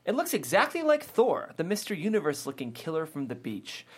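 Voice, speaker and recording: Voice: medium pitch, huge pitch swings, fairly quick, slightly rough. A narrator reading scripted stage directions aloud at a table read.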